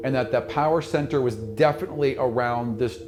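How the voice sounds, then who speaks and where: A man's voice: mid-range voice, a little fast, background noise. A man acting as game master, narrating a tabletop fantasy game in descriptive, improvised second-person storytelling. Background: prominent music.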